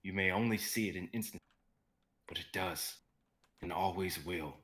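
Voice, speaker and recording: A young man playing an earnest, determined fantasy hero in a dramatic reading, speaking with halting passion. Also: low-pitched, a bit bright. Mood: resolute.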